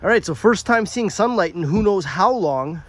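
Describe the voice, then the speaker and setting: A man's voice: high voice, lively intonation, normal speed, soft background music. A man with a slightly nasal voice chatting offhandedly as he works in his home workshop, thinking aloud with plenty of uhs. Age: young adult to middle-aged, father of a daughter. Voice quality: slightly nasal from a sinus infection. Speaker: workshop channel host. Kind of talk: casual repair vlog narration. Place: home workshop or yard beside a mower.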